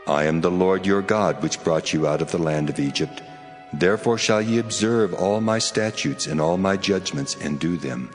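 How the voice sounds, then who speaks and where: An actor playing a majestic, commanding God in a scripture drama, proclaiming solemnly and with authority. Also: male voice, deep voice, moderate pace, close to an everyday voice. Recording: long cavernous reverb, faint music.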